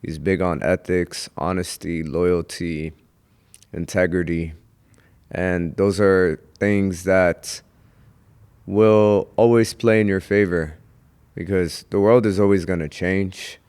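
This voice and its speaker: A young man from Houston, Texas, a comedian guest on a podcast, talking in an unhurried, reflective way with pauses to think. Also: low-pitched, fairly even intonation, a little mellow, smooth. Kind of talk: casual podcast interview.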